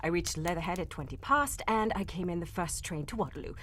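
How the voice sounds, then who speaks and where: A woman playing a nervous, desperate young lady in an old-time radio play, halting and formal in her speech. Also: on the low side, fairly bright tone, slight background noise, natural voice. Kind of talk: cold-read live old-time radio drama acting.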